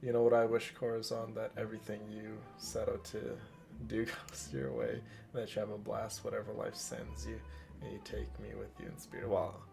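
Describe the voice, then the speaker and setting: A man's voice: on the low side, steady tone, a little fast, gravelly, darkish tone, soft background music. A man hosting a livestream, chatting casually and addressing viewers with doting affection. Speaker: livestream host. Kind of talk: casual chat while streaming a game.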